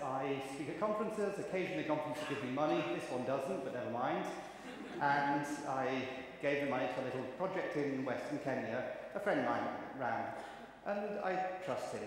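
A man in his fifties giving a conference keynote, chatty and wry, telling anecdotes to the audience. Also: medium pitch. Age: 50s. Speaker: keynote speaker. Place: large conference hall. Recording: echoey hall, faint ambient noise.

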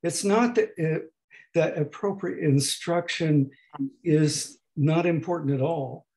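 A man in his eighties lecturing slowly over a video call, with a hoarse, gravelly voice that is not fully distinct and that often pauses and restarts. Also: fairly high pitch, a little mellow. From United States. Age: 80s. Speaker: professor emeritus giving a talk. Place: home room at a desk. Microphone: webcam on a video call.

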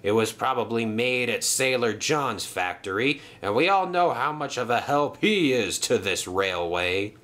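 A grumpy dock crane from a children's story, acted out by a man. He is scornful and careless, muttering dismissively, with pitch that jumps around in lively swings. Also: unhurried, few pauses.

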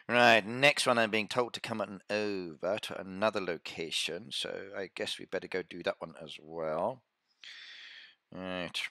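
A man's voice: lowish voice, a bit bright, no room sound. A middle-aged British man with a British accent streaming a mobile game live, chatting through a headset mic and reading out viewers' messages. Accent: British English. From Britain. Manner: chatty, reads out chat and answers viewers. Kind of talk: casual live-stream commentary.

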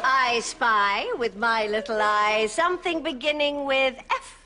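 A woman's voice: fairly high pitch, a little slow, pushed and bright. An actress playing a prim, self-satisfied, genteel middle-aged lady in a sitcom. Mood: smug. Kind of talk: sitcom acting. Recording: very noisy studio sitcom sound.

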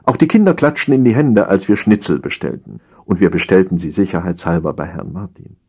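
A man reading a humorous story as a scripted audiobook narration, in an expressive, theatrical storytelling manner. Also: deep voice, brisk, slightly rough.

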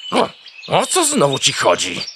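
A man voicing a blunt, wisecracking, slow-witted farmyard dog in a children's radio play. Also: fairly high pitch, wildly swooping pitch, sharp tone, a little hiss or hum, full character voice. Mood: puzzled. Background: birdsong.

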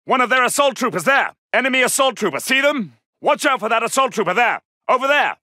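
A man's voice: very high-pitched, animated, fast, bright, forceful tone, no echo, studio quality, exaggerated voice. A man voicing an alert soldier in a video game, giving clipped, urgent military callouts. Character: alert, gruff British male Second World War soldier. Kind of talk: shouted combat callouts.

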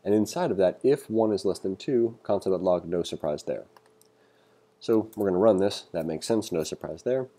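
A man's voice: deep voice, normal speed, warm tone. A man giving an informal read-along coding tutorial, thinking aloud in a casual manner as an instructor.

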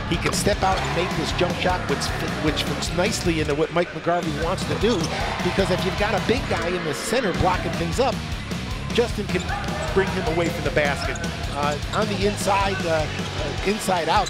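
High-pitched older male basketball analyst. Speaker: color commentator. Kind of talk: basketball highlight commentary. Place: courtside in a college basketball arena. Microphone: broadcast headset.

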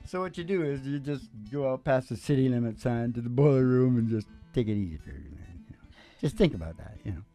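A man's voice: medium pitch, animated, fast, mellow. An older man in casual, folksy stage banter on a vocal microphone, speaking in a laid-back way. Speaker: singer and show host. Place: small indoor stage with curtains. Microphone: stage vocal microphone on a stand. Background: acoustic guitar.

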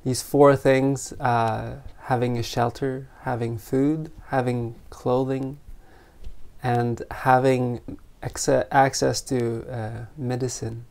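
A meditation teacher of unclear sex guiding a group in gentle, spontaneous talk, unhurried, with long pauses between short phrases. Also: medium pitch, little pitch movement, gravelly. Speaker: meditation teacher leading an online retreat.

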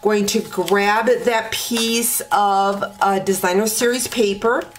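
A woman teaching card making step by step at her craft table, talking in a relaxed way with many ums and uhs. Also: medium pitch, a little hiss or hum. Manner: slow, relaxed talk with frequent ums and uhs.

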